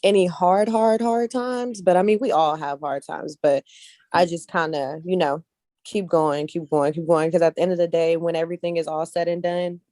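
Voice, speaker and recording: A woman's voice: fairly low pitch, fairly even intonation, moderate pace, dark tone, dry recording, studio quality. A woman speaking casually and earnestly as an interview guest, often repeating phrases for emphasis. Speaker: guest casting director, actress and model.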